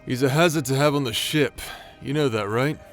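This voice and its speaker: An actor playing a crude, irreverent rogue warrior in a fantasy audio roleplay, talking in a casual, sarcastic, teasing way. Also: male voice, medium pitch, fairly quick, soft background music, lightly acted. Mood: teasing, bored. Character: cheeky, crude, irreverent bastard warrior man, sardonic rogue.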